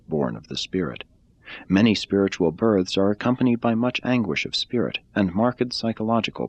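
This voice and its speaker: A man reading a religious text aloud in a measured, deliberate way. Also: on the low side, breathy edge, no room sound, muffled recording. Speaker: reader.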